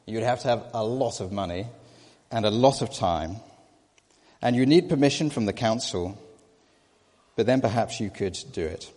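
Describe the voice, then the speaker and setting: A man's voice: fairly low pitch, expressive, moderate pace, long pauses, a little mellow, in a large hall. A patient, chuckling father, as a preacher tells it. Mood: musing. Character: patient, chuckling father teaching his son.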